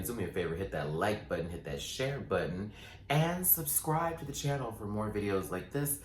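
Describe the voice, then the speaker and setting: A man chatting sassily through a casual recap, his voice still low and groggy from just waking up. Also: echoey room, slight background noise. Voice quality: voice sitting lower than his normal. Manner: sassy, playful, quick asides. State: just woke up, morning voice. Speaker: vlogger and show recapper.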